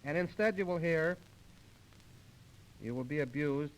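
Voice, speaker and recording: A man's voice: fairly high pitch, measured pace, a little hiss or hum, low-quality recording. An American man doing 1930s radio comedy patter and mock recitation in a folksy, mock-formal showman's manner. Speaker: comedian in a singing comedy duo. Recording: old 1930s radio transcription disc, music behind.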